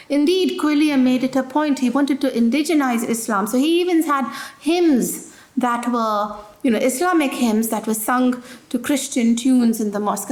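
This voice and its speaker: A woman's voice: a little high, roomy sound. A woman giving a public history lecture in a conversational way, often restarting phrases and adding "you know". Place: lecture hall.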